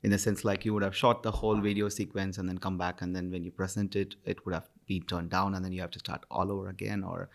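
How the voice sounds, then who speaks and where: A man hosting a casual interview, asking questions haltingly with many pauses. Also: deep voice, flat delivery, gravelly.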